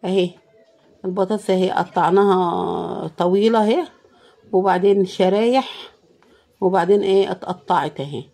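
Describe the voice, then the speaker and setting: Hoarse Egyptian woman narrating cooking. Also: on the low side, normal speed, pauses often, warm tone. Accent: Egyptian Arabic. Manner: tired, pious, often praising God. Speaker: cooking vlogger. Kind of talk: casual cooking commentary.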